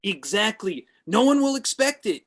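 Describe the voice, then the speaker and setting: A man's voice: high voice, sing-song, normal speed. A man playing a pushy, deluded washed-up actor with an earnest, self-important manner in a comedy table read. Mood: eager, pitching. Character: Drama, pushy deluded male washed-up actor pitching ideas.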